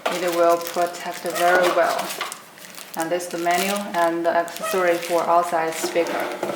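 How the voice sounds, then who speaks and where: A young woman giving an unscripted product demonstration in short, simple, halting phrases. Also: on the low side, completely flat delivery. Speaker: product demonstrator for an electronics brand. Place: indoor room at a table with green backdrop. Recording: roomy echo, clearly noisy background.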